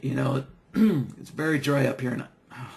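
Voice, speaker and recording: A man teaching trading software in a live online session, losing his voice from a dry throat and clearing it often, talking in a halting, unhurried way. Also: medium pitch. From Idaho. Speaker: software trainer. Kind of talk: live webinar screen-share tutorial.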